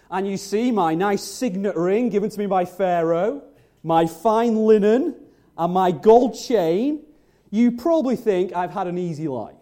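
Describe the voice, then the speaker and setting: An earnest, humble biblical man tells his own story, played by a man in church. He speaks high and mellow, and his voice swings widely as he confides in the listeners. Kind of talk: first-person dramatic monologue in a church service.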